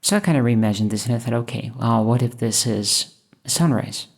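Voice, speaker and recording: A woman from Russia, thoughtfully talking over her painting process in a hesitant, musing way with many pauses. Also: extremely low pitch, lively intonation, gravelly, breathy edge. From Moscow, Russia. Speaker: painter and channel host. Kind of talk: reflective voice-over while painting.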